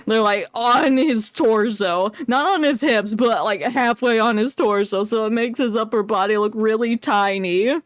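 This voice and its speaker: A woman's voice: a little high, unhurried, hardly any pauses, no echo, studio quality. A woman livestreamer giving casual, joking game commentary in a playful, sarcastic way.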